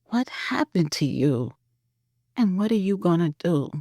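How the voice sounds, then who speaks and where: A narrator voicing an insecure, sad, aging wife in an audio drama, in a soft, withdrawn, hushed manner. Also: mid-range voice, sing-song, a little slow, no room sound, studio quality, voice pushed up. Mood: whispered despair.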